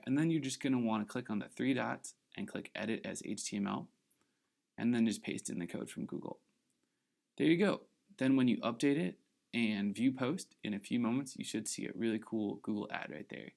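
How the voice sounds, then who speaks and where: A man hosting a screen-recorded software tutorial, giving upbeat, encouraging step-by-step instructions while slightly losing his voice. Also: medium pitch, creaky. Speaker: tutorial host.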